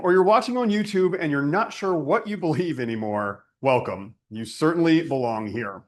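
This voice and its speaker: A man delivering a guided spiritual sermon as a teacher, reflective and deliberate, repeating phrases for emphasis. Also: on the high side.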